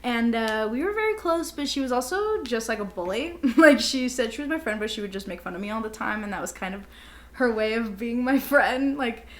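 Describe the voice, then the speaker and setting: A young woman co-hosting a podcast, chatting casually in a halting, self-deprecating, informal way. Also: fairly high pitch, animated, slight background noise. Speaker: podcast co-host. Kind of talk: casual conversational chat.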